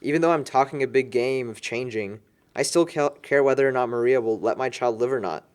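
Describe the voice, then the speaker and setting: A man plays a young father-to-be at a party. He speaks bluntly and casually at an ordinary male pitch, in the style of film dialogue. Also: fairly quick, darkish tone, clear, resonant tone, close to an everyday voice. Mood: defiant.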